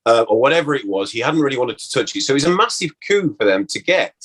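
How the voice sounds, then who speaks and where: A man, an actor being interviewed, talking casually and reflectively, haltingly repeating words as he thinks aloud. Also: mid-range voice, very animated, a bit bright, breathy edge, rough recording.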